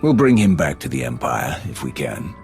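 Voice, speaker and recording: A man's voice: very deep voice, sing-song, normal speed, vocal fry, darkish tone, soft background music, exaggerated voice. An actor playing a curt, commanding male Sith warrior in a game cutscene. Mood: stern.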